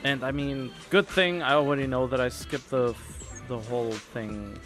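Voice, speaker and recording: A man's voice: medium pitch, fairly even intonation, moderate pace, a bit bright, soft background music. A man streaming a game with casual, rambling commentary, thinking aloud as he chats. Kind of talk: casual chatty livestream commentary.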